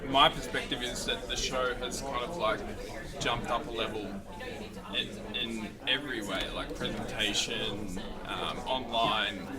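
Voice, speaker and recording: A man's voice: medium pitch, steady tone, unhurried. A man judging a competition, talking casually and enthusiastically with plenty of "like". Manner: enthusiastic, loose, lots of "like". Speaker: competition judge. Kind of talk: casual interview chat. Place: busy convention hall. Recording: distant mic, loud crowd behind.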